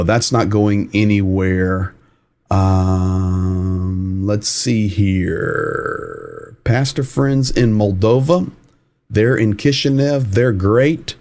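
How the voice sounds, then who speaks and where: A man with a somewhat low voice hosts a live commentary stream. He talks in a loose, rambling, conversational way, as if guiding viewers across a map. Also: a little mellow. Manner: casual, rambling, talks while showing a map. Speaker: host.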